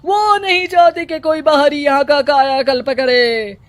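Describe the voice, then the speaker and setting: Lady doing a spooky village baba, high voice, slow dramatic witch warnings, cartoon story. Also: fairly even intonation. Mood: ominous. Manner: ominous, dramatic. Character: alarmist holy man warning villagers of a witch. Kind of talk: cartoon moral-story voice acting.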